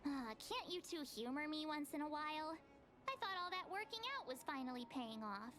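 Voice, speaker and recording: A perky young female adventurer from a video game. She has a high voice and a chatty, dramatic, teasing way of talking, with faint music behind her. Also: lively intonation, brisk, fairly bright tone. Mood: playful, exasperated. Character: perky, expressive, playful young woman adventurer.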